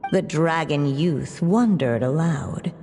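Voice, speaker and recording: A woman voicing a composed, motherly storyteller witch who narrates a video game storybook in measured, formal, literary phrases. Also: low-pitched, mellow, faint music behind. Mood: narrating, suggestive. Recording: long hall reverb.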